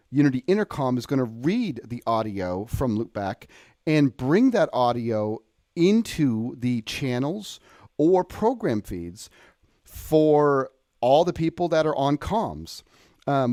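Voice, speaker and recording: A man walks through a technical demonstration in an informal, explanatory style. His delivery is unpolished and a little apologetic, as if thinking aloud. He has a middling pitch, and the sound is close and dry, with very little room. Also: dark tone, dry recording. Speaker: presenter demonstrating audio software. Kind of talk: informal tutorial walkthrough.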